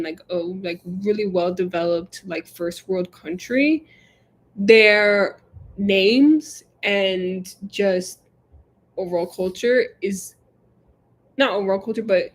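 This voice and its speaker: Chatty young woman on a livestream. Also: mid-range voice. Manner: chatty, many fillers, reads viewer comments aloud. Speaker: livestream co-host. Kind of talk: casual live chat.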